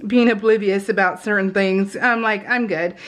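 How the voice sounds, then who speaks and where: A middle-aged American woman telling personal stories in a casual, informal, animated way, slipping into retold dialogue. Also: medium pitch, moderate pace. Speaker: craft video host. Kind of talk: casual chatty storytelling.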